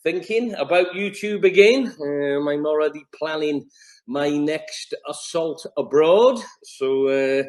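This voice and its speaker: A man's voice: fairly high pitch, slow pace, darkish tone. A man with a North Welsh accent, a YouTuber talking to camera in a wry, rambling, hesitant way. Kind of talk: vlog to camera.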